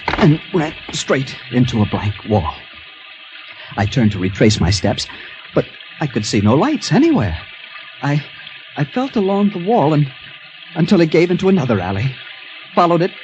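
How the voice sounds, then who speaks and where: Actor as a fussy, animated traveler, music behind. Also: male voice, mid-range voice, lo-fi sound, natural voice. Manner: hesitant, stammering, slightly prim. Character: fussy, anxious American male traveler telling his misadventure. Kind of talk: first-person radio drama narration.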